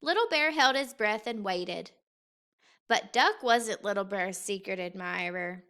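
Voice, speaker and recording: A woman reading a children's story aloud in an expressive, unhurried, deliberate way. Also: mid-range voice. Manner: unhurried, expressive, deliberate phrasing for young listeners. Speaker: teacher reading a picture book aloud.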